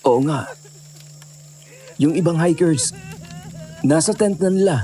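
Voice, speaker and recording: A man's voice: a little high, slow, warm tone, a little hiss or hum. A man with a Filipino accent acting a laid-back, skeptical young hiker in a conversational audio drama. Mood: casual, skeptical.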